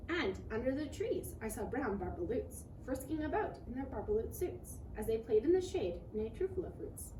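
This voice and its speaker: A woman reading a children's rhyming picture book aloud in an expressive, dramatic way. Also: a little high, moderate pace, hardly any pauses. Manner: animated, dramatic rhyming delivery. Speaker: read-aloud storyteller. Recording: roomy, noisy recording, distant mic.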